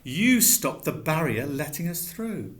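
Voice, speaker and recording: A man's voice: on the high side, sing-song, a little slow, fairly bright tone, voice pushed up. A man voicing a hurt and irritable schoolboy in a plain, earnest tone.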